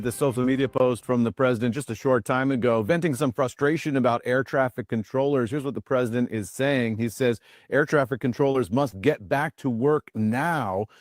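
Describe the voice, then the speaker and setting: A man's voice: medium pitch, a little mellow, no room sound, clean studio sound. A man with an American accent giving a live television news report as a correspondent.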